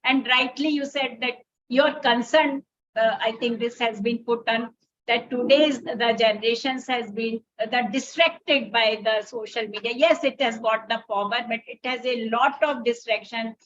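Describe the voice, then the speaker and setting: Indian lady interviewer, highish voice, halting and wordy, kinda muffled lo-fi sound. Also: fairly even intonation, a bit bright. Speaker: interview host, doctor. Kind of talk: conversational interview, reflective commentary. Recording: dull, lo-fi audio.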